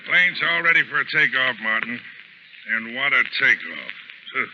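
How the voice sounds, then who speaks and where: An actor playing a cocky smuggler pilot in an old radio drama, with sarcastic, slangy tough talk. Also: male voice, medium pitch, lively intonation, moderate pace, natural voice. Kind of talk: 1930s radio acting. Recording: dull, hissy vintage radio recording.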